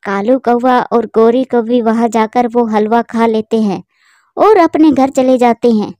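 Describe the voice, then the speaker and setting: A woman narrating a children's cartoon story in a steady, plain storytelling manner. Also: fairly high pitch, unhurried, dry recording.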